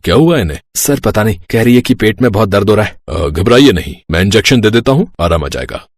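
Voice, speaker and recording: A man voicing the male characters of a cartoon family story in a plain, steady manner. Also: lowish voice, quick pace, dry recording, clean studio sound. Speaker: voice actor for the husband, father-in-law and doctor. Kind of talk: cartoon dialogue acting.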